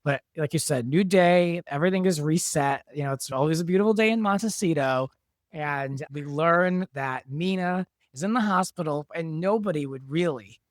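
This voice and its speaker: A man's voice: a little high, normal speed, no echo, clean studio sound. A man who writes and produces drama, chatting casually and explaining his work as a guest. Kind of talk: casual behind-the-scenes discussion.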